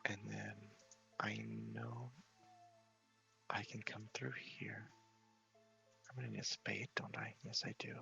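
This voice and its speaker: A man's voice: lowish voice, level tone, slow pace, vocal fry, long pauses, sharp tone, muffled recording, faint music behind. A man giving casual ASMR gameplay commentary, often whispering as he thinks aloud. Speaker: game streamer.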